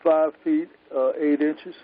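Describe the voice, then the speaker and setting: A man's voice: on the high side, fairly even intonation, slow pace. A man taking part in a conference call, answering questions in a casual, conversational way. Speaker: call participant being quizzed. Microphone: conference phone call. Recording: muffled, faintly noisy phone conference line.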